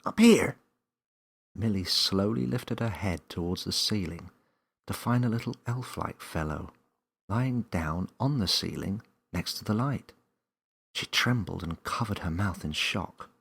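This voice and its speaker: A man reading a children's story as narrator, in an expressive scripted storybook style. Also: sits low, unhurried, gravelly, breathy edge.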